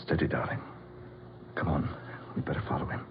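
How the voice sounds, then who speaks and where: A male actor playing an earnest, protective gentleman in an old radio drama, formal and urgent. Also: extremely low pitch, fairly quick, vocal fry. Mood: hushed, cautious. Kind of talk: 1940s radio drama acting. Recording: noisy, muffled old radio recording.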